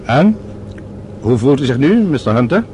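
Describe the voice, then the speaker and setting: Actor as courteous older doctor, noisy radio play. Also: male voice, medium pitch, sing-song, normal speed, warm tone, close to an everyday voice. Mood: affable, attentive. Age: middle-aged or older. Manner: formal, polite, solicitous. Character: courteous, smooth-talking older male doctor on a journey. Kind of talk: Dutch radio drama acting. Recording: old radio drama with clear background noise.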